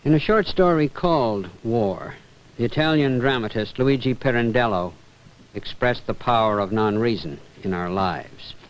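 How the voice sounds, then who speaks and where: A man's voice: mid-range voice, moderate pace, lo-fi sound. A man presenting a scripted introduction to a literary reading.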